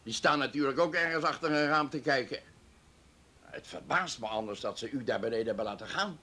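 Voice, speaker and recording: A man's voice: medium pitch, a little fast, sharp tone. An actor playing a talkative, eager male hospital patient in a radio drama, chatty and inquisitive. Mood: grumbling, envious.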